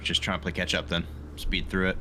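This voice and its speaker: A man with a very deep voice chats casually as a player in a tabletop roleplaying game. The recording has clear background noise. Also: level tone, brisk.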